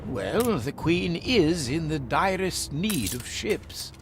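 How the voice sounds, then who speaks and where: An actor playing an eccentric, pompous British gentleman official in a video game, savouring his quaint words. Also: fairly high pitch, very animated, takes it slowly, background noise, exaggerated voice. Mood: solemn. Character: jolly, plummy, eccentric old-fashioned gentleman official.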